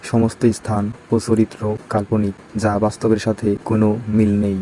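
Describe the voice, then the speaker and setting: A man's voice: lowish voice, completely flat delivery, fairly quick, warm tone, a little hiss or hum, poor audio. A man reading a scripted disclaimer as an announcer. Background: music.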